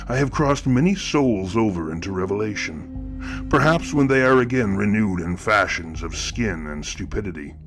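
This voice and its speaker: A low, deep voice from a male actor as a sinister artist-murderer telling his own horror tale. He is pompous and florid, weighing his phrases with theatrical menace. Prominent music plays behind the narration. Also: close to an everyday voice. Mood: grandiose, solemn. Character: grandiose, sinister male killer who sees murder as art. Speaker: narrator of a horror fiction podcast. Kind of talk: scripted first-person horror narration, theatrical.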